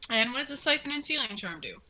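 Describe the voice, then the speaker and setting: A woman with a bright, crisp-edged and slightly high voice co-hosts a relaxed, casual commentary. She is informal and enthusiastic, reacting and putting questions to her partner. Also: quick pace. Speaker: co-host asking questions about the series.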